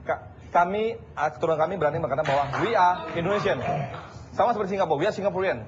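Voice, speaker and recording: Very rapid-talking man in a debate. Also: high-pitched. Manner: rapid, argumentative, mixes in English phrases. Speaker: debate guest. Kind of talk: televised debate. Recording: dull archive TV audio with faint hiss.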